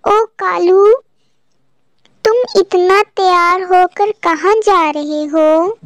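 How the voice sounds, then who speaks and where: A woman's voice: very high voice, steady tone, very slow, pitched above the performer's own voice, full character voice. A woman voicing a nosy little female cartoon bird who calls out inquisitively. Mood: nosy, calling out. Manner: calling out, inquisitive. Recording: muffled lo-fi cartoon audio with echo.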